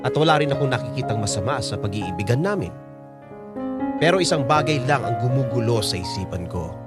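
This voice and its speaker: A man's voice: medium pitch, lively intonation, brisk, natural voice. A man acting an older lover who confesses a forbidden love in an earnest, reflective Filipino-accented radio drama narration. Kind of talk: first-person letter narration in radio drama. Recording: loud piano music under voice. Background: loud piano music.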